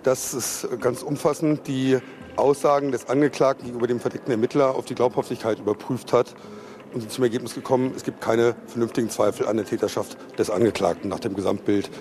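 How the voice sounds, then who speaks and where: Fast-talking male court spokesman. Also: mid-range voice, little pitch movement, a little mellow, soft background music. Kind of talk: formal official statement.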